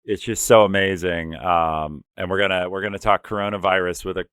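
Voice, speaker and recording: A man's voice: deep voice, fairly even intonation, brisk, fairly bright tone, clear, resonant tone, no room sound, clean studio sound. A man co-hosting a casual livestream over a video call, talking informally and directly to the chat. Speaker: livestream co-host.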